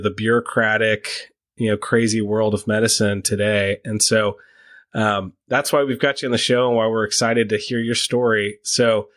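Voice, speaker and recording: A man hosting a podcast interview, halting and restarting his sentences as he asks questions. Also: on the low side, fairly bright tone, no room sound. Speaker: podcast host.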